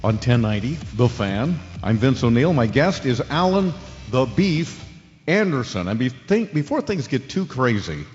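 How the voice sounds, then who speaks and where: A man's voice: mid-range voice, sing-song, normal speed, darkish tone, faint music behind, natural voice. A man playing a petulant, sports-obsessed talk radio host in a live-show audio drama. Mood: upbeat. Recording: dull lo-fi audio with long reverb.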